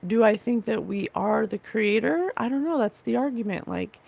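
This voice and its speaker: Woman, fairly high voice, gravelly, slightly raw and rough, earnest, casual livestream host. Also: lively intonation, normal speed, darkish tone. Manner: earnest, gushing about divine love. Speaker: psychic medium livestream host. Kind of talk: casual livestream chat reading comments.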